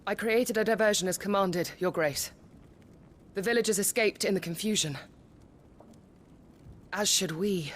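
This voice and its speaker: A high-voiced, dutiful servant. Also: male voice, a little slow, long pauses, a bit bright, slight background noise. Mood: urgent. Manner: formal, deferential. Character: dutiful, urgent subordinate serving a lord. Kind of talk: video game cutscene acting.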